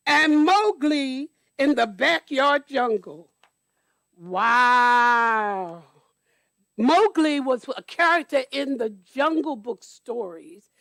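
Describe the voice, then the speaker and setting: High-pitched woman reading storytime, unhurried. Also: fairly bright tone, no room sound. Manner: deliberate, explains details to young listeners. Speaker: church storyteller reading to children. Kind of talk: read-aloud storytime with explanatory asides.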